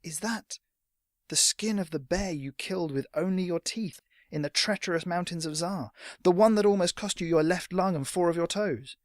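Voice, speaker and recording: A man's voice: sits high, normal speed, dry recording, clean studio sound, voice pushed up, lightly acted. A man voicing an eager but timid young boy who speaks hesitantly and politely.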